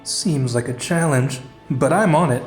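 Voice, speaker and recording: A man's voice: medium pitch, unhurried, lightly acted. Man playing a composed, echoing anime fighter. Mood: agreeable. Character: composed, analytical observer of fighters. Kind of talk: anime fan-drama acting. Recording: echoey, with clear music behind.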